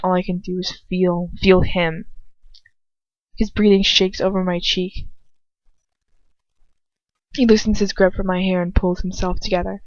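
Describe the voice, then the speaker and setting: A woman's voice: lowish voice, darkish tone, no echo, muffled recording. A woman reading a first-person story dramatically, stumbling now and then and rereading phrases. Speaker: amateur fan fiction reader.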